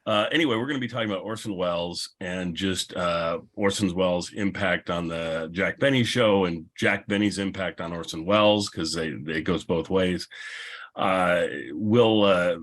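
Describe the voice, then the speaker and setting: A man's voice: sits low, normal speed, a bit bright. A man hosting a panel discussion in a casual, unscripted, rambling and good-humored way. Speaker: panel host and podcaster.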